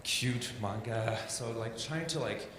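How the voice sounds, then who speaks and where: A man's voice: mid-range voice, takes it slowly. A man from Denmark with a Danish accent, a native Danish speaker, giving an informal illustration talk in a loose, chatty, self-deprecating way full of "like" and "um". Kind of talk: informal presentation. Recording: echoey hall with steady background noise.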